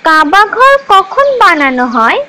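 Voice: very high-pitched, sing-song, measured pace, sharp tone, exaggerated voice. A performer voicing an eager, curious little rabbit pupil in a children's cartoon, inquisitive and earnest. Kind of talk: scripted children's cartoon dialogue.

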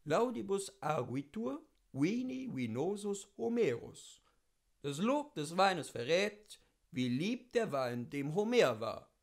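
Male audiobook reader for literary classics; notably high voice, very lively melody, theatrical and carefully articulated. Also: slow pace. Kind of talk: expressive literary audiobook reading.

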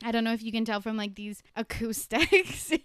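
A woman's voice: fairly high pitch, moderate pace, a bit bright, clear, resonant tone, no echo, studio quality. A young woman from Canada hosting a podcast, chatting casually with lots of laughs and "like", her voice a little nasal from allergies. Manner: giggly, says "like" a lot, swears casually. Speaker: podcast host. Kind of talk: casual chat. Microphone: handheld performance mic.

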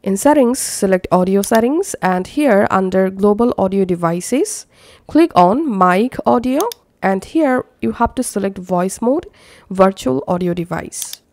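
A woman narrating a step-by-step software tutorial as an instructor. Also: mid-range voice, lively intonation, a little slow.

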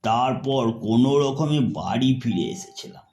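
Slow male horror storyteller with echo. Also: mid-range voice, a little mellow. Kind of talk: scripted first-person horror narration. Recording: echoey, faint rain noise behind. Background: rain ambience.

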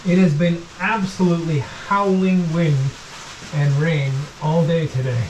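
A middle-aged man casually talking through his hands-on work to the camera, with halting pauses as he goes. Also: fairly high pitch, fairly even intonation. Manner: talks haltingly while working, with pauses. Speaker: vlog host, boat restorer. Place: inside a wooden boat in a shed and at its workbench. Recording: noisy workshop recording with clicks.

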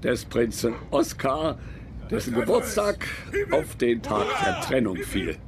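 A man's voice: mid-range voice, sing-song, a little slow, crowd noise. A man playing a formal, deferential court chronicler narrating in a radio play, in a ceremonious manner.

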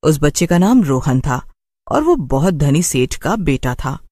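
A man's voice: on the high side, sing-song, measured pace, darkish tone, dry recording, studio quality. A man narrating a scripted children's horror story as a storyteller.

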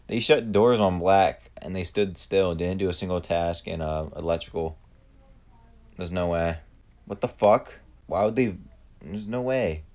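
A man's voice: sits low, darkish tone. A man giving live gameplay commentary, blunt and profane, ranting at the other players. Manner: profane, blunt, quick to rant. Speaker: gamer streamer.

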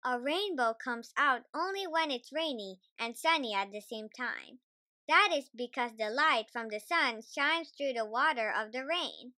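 Slow, animated, bright-voiced female reader. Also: sits high, dry recording. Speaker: storybook reader. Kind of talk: children's read-aloud, scripted narration.

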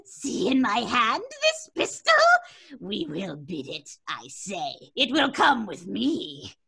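An actress playing an unhinged wife possessed by a vengeful spirit, in melodramatic old-time radio horror style with archaic turns of phrase. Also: female voice, mid-range voice, huge pitch swings, slow, vocal fry, music in the background, full character voice. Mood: cold, vengeful. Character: unhinged woman torn between terrified wife and vengeful old-world spirit. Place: home room, remote video call.

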